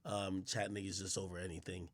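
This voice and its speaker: A man's voice: low voice, level tone, brisk. A man live-streaming, chatting loosely and profanely straight to his viewers. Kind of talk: casual live-stream chat.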